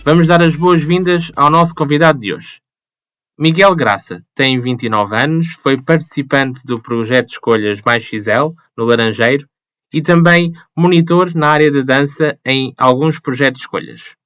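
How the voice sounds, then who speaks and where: A man from Portugal hosting a podcast, reading a clear introduction and asking the guest questions. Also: fairly high pitch, moderate pace, fairly bright tone, dry recording. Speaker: podcast host. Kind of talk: scripted intro, then interview questions.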